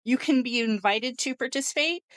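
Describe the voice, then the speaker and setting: A woman's voice: sits high, normal speed, fairly bright tone, clean tone, dry recording. A woman in a casual group discussion, explaining things in a matter-of-fact way. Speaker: podcast panelist.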